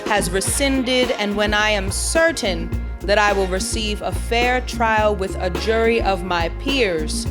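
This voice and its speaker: An actress playing a solemn, defiant young woman reading a political statement in a measured, emphatic way in an audio drama. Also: mid-range voice, close to an everyday voice. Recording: clear music bed behind.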